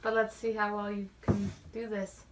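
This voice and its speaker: A woman's voice: mid-range voice, level tone, moderate pace. A playful woman co-hosting a talk show with casual, teasing banter. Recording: distant mic, faint noise and clicks.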